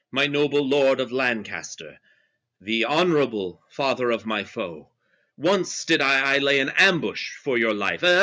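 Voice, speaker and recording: A man's voice: on the high side, bright, forceful tone, heavily acted. A man voicing a proud, wronged nobleman in a Shakespeare reading over a video call, with impassioned, defiant verse delivery. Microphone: video call webcam.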